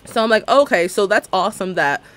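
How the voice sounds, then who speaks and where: A man in his twenties talks on stream in a slightly higher register. He vents at length, dropping into mocking impressions of what others said. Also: fairly quick, low-quality recording. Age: 20s. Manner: ranting, animated, sarcastic, mimics others' words. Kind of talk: casual livestream chat while building in a game.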